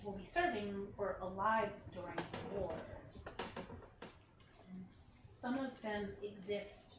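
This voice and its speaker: A woman playing a brisk, frank aid worker in a staged play reading, emphatic and sometimes halting. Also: mid-range voice, close to an everyday voice. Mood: frank, firm. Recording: roomy, distant mic, faint noise.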